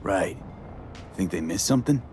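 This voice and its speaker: A man's voice: low-pitched, lively intonation, moderate pace, a little mellow, faint music behind. A man playing a blunt, skeptical young streetwise mercenary with a casual, cool manner in a video game. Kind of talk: cinematic video game acting.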